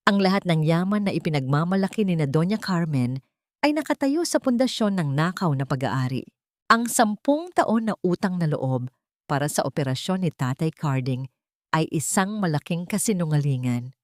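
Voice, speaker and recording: A woman with a fairly low voice tells a dramatic scripted story. Her intonation rises and falls widely, giving a solemn, theatrical delivery. The recording is close and dry. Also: a little mellow, no echo.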